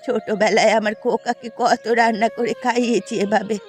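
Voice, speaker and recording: A woman's voice: on the high side, fairly even intonation, brisk, lightly acted. A woman voicing an affectionate but aggrieved older village mother in a cartoon fairy tale. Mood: warm, explaining. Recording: music under the voice.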